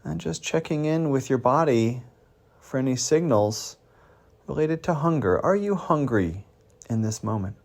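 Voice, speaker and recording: A man leading a guided meditation as a mindfulness teacher, gently prompting with questions and pauses. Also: mid-range voice, lively intonation, vocal fry.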